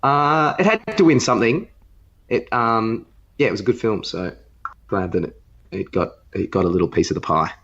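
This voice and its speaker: A man with an Australian accent and a fairly low voice, recorded with a dull, lo-fi sound. He chats casually as a podcast co-host, chipping in and teasing. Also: animated, normal speed, a bit bright. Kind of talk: casual banter about films. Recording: dull lo-fi remote-call sound.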